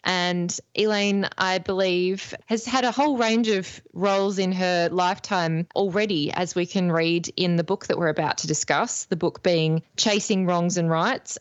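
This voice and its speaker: An Australian woman hosting a radio interview, reading a measured, earnest introduction before asking her guest questions. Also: on the low side, dry recording, dull audio. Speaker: radio host.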